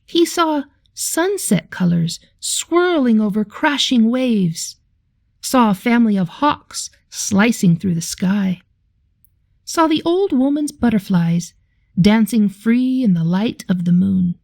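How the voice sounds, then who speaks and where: A woman's voice: fairly high pitch, animated, takes it slowly, gravelly, a little mellow, no echo, studio quality. A woman reading a children's story aloud expressively, like a teacher.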